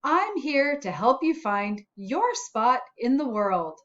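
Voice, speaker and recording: A friendly storybook spot, voiced by a woman, speaks slowly and encouragingly to children. The voice is a bit high, with simple, cheerful helper phrasing. The sound is slightly dull and lo-fi. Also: sing-song, fairly bright tone, full character voice. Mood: upbeat, encouraging.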